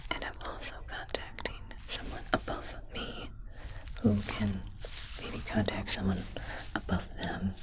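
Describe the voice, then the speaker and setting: A woman playing a calm alien translator technician in a whispered ASMR roleplay, speaking softly and carefully, like a reassuring clinician. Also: extremely low pitch, unhurried, full character voice. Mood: gentle, reassuring.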